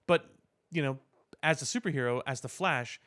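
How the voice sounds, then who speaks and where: A man's voice: mid-range voice, moderate pace, a bit bright. A man co-hosting a podcast, explaining his opinions in casual, opinionated talk about superhero films. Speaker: podcast co-host.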